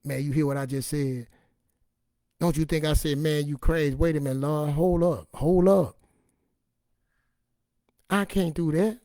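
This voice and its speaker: A raspy-voiced man giving an informal, emphatic personal testimony in a streetwise, repetitive way, acting out bits of dialogue as he goes. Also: on the high side, warm tone, no room sound, studio quality. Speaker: online motivational and faith speaker.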